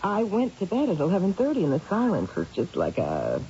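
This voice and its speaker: A woman's voice: on the low side, wildly swooping pitch, fairly quick, warm tone. An actress playing a lonely, talkative middle-aged woman in an old radio drama, hesitant and confiding as she rambles apologetically. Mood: distressed, confiding. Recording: muffled, hissy old radio broadcast.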